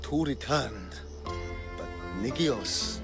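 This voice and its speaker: Slow, weary old man. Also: medium pitch, expressive, gravelly, heavily acted. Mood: anxious, haunted. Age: older. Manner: halting, grave. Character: weary, guilt-ridden old Greek man, gentle guardian. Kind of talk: video game cutscene acting. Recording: loud music behind.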